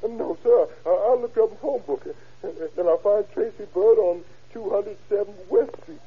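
A man's voice: sits high, moderate pace. An actor in an old radio crime drama playing a frightened, stammering murder suspect with folksy, ungrammatical speech, pleading as he breaks under questioning. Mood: nervous confessing. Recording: tinny, narrow-band vintage radio recording.

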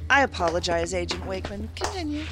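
A woman's voice: mid-range voice, sing-song, fast, background noise, lightly acted. An actress playing an observant female agent in an audio drama, polite and slightly hesitant yet firmly insistent. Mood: concerned.

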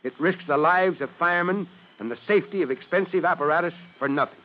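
An actor playing a stern, avuncular fire chief giving children an earnest, moralizing scripted radio talk. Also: male voice, a little high, quick pace, close to an everyday voice. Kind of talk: old-time radio scripted address. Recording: muffled old radio recording.

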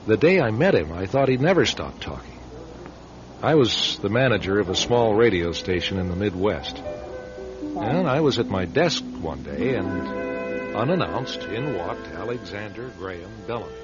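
A man's voice: fairly low pitch, very animated, normal speed, music in the background. A man playing a wry, sardonic middle-aged radio station manager in a conversational radio drama style. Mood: wry, somber. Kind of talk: radio drama narration and dialogue. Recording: dull, hissy old radio recording.

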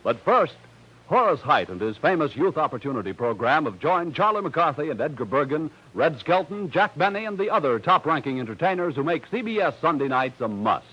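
A male 1940s radio announcer with a fairly high, lively voice. He reads scripted copy in a polished, promotional way, with plenty of swing in his pitch. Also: a little fast, husky, dull audio.

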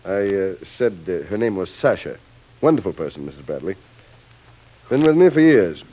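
A suave, commanding boss in a vintage radio drama. His voice is deep and very expressive, with a measured, falsely fatherly manner. The old recording sounds muffled. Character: smooth, commanding male island boss, outwardly fatherly. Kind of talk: classic radio drama acting.

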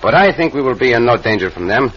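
An actor playing a gallant, confident Mexican cowboy hero with a Mexican accent and courteous, formal phrasing in an old radio western. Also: male voice, mid-range voice, sing-song, fast talker, close to an everyday voice. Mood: calm, defiant. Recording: muffled vintage radio with faint hiss.

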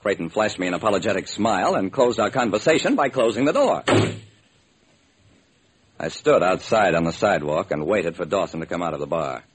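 A man's voice: deep voice, expressive, fairly quick. A man acting as a hard-boiled sleuth narrating his case in an old-time radio crime drama, clipped and wry. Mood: pressing, triumphant. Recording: noisy vintage radio recording.

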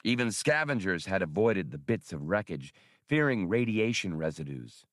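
A man reading a science fiction adventure novel as an audiobook narrator, in a measured, deliberate and expressive storytelling style. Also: deep voice, no echo, clean studio sound.

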